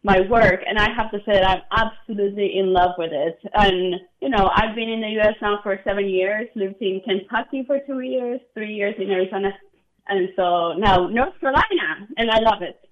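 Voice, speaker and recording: A woman's voice: medium pitch, moderate pace, lo-fi sound. A woman from Argentina, a conductor chatting enthusiastically as an interview guest and laughing often. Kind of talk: broadcast interview.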